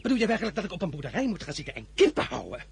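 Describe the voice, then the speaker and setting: A proud, restless young general commands every exchange in this radio play,. His speech rushes along and his pitch swings widely, rising above a typical man's voice. There is a faint hiss behind him. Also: huge pitch swings, vocal fry, exaggerated voice. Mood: indignant. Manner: proud, commanding, quick to flare up. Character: ambitious, fiery young male general.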